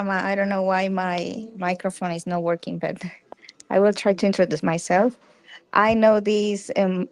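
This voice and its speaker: Halting woman from Colombia, low and dry. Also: no echo. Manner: halting, repeats words as she starts. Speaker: book club member. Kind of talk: self-introduction on a video call. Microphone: video call.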